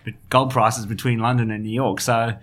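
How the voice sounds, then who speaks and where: A man's voice: medium pitch, flat delivery, normal speed, fairly bright tone. A middle-aged man from South Africa with a South African accent, a finance journalist talking as a guest in a conversational interview, hesitant with many fillers and restarts.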